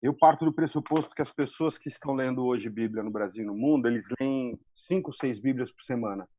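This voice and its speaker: A man's voice: mid-range voice, brisk, mellow, no echo. A man with a Brazilian accent talking colloquially as a screenwriter on a panel. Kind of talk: casual, colloquial panel talk.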